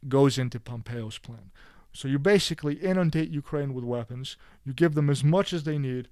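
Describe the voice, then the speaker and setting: Blunt, emphatic man, somewhat high voice. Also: a little mellow, no echo. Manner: blunt, emphatic, full of asides and restarts. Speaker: political commentator. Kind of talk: opinionated solo video commentary.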